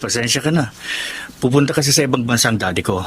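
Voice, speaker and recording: Man playing young well-off suitor, mid-pitched, polite, reassuring, radio drama. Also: animated, fast talker, fairly bright tone, a little hiss or hum, natural voice. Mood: gentle, earnest. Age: young adult. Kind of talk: Filipino radio drama acting.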